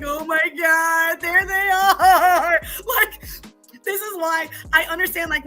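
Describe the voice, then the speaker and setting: A hoarse woman chatting casually as a podcast guest, laughing often as she tells stories. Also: high voice, bright, forceful tone. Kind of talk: casual chat. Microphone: remote call mic.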